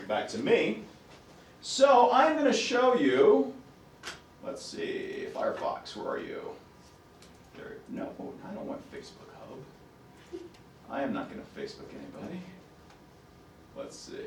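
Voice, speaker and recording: A man teaching a class as a professor, his voice raspy right now, talking himself through computer steps. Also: mid-range voice, roomy sound, recorded from a distance, slight background noise. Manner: talks to himself while fumbling with the computer. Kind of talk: classroom lecture.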